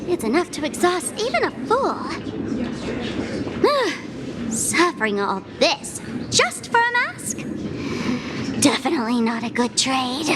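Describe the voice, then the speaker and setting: A woman playing a playful, cheeky young heroine in a video game, teasing and expressive. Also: high voice, very noisy. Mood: wry, weary. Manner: teasing, exclamatory, with sighs and drawn-out words. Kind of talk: animated video game voice acting. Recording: loud music behind the voice.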